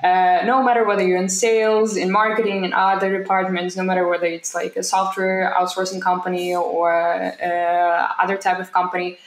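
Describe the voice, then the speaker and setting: A young woman in her twenties hosting a podcast, asking measured interview questions over a video call. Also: fairly low pitch, flat delivery. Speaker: podcast host. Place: room indoors. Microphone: laptop camera on a video call. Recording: echoey room.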